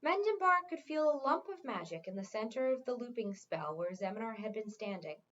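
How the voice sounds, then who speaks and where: A woman's voice: on the high side, expressive, brisk, fairly bright tone. A woman reading a fantasy novel aloud as narrated fiction. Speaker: reader. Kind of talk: audiobook-style read-aloud of a fantasy novel. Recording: dull, lo-fi sound.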